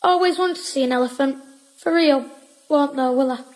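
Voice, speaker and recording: A woman's voice: high-pitched, moderate pace, a little mellow, lightly acted. A woman playing a teasing, playful working-class child in a Victorian audio drama, with clipped, informal speech. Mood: earnest.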